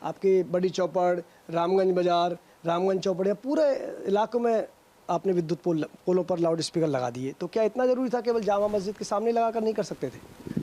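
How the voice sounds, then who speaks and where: Male reporter, high pitch, dark soft timbre, field interview questioning. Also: a little fast.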